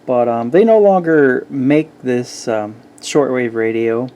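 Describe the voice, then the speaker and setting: Male hobbyist reviewer, mid-pitched, soft-edged mellow tone, halting and conversational. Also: clear, resonant tone. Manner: halting, hesitant delivery with frequent pauses. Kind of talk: casual product walkthrough.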